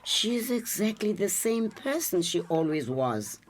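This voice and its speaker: An actress playing a wise, accepting grandmother in a radio drama, older, with a calm, gently challenging manner. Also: mid-range voice, unhurried, a little hiss or hum. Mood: calm, reassuring. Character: wise, accepting grandmother counselling her daughter.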